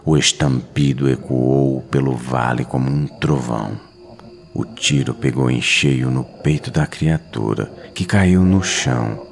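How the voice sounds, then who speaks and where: A man's voice: very deep voice, a little slow, gravelly, a little hiss or hum. A Brazilian man telling a scripted horror story, solemn and suspenseful, in short weighted phrases. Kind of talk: dramatic scripted horror narration. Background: music.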